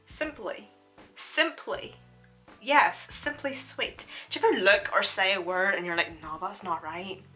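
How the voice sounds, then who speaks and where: A woman's voice: mid-range voice, a little slow, bright, forceful tone, faint music behind. A young woman with a Northern Irish accent, chatting casually through a makeup tutorial and laughing often. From Northern Ireland. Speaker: beauty vlogger.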